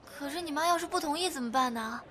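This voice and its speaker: A woman acting a sweet, homely young girlfriend, coy and gently scolding, in a Chinese audio drama. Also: sits high, fast talker, a crowd in the background, close to an everyday voice. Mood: anxious.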